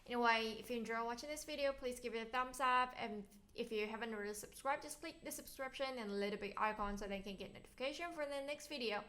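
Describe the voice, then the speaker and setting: A woman with a Chinese accent, a native Mandarin speaker, teaching vocabulary as a language teacher and enunciating each word deliberately for learners. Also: fairly high pitch, bright, forceful tone. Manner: enunciates words deliberately, syllable by syllable. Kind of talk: slow vocabulary lesson with words repeated for learners.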